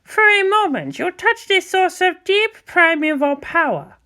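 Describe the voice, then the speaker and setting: Woman acting elderly monk sage, high voice, cryptic, probing, eccentric, storybook character. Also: very animated, measured pace, sharp tone, exaggerated voice. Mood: cryptic, musing.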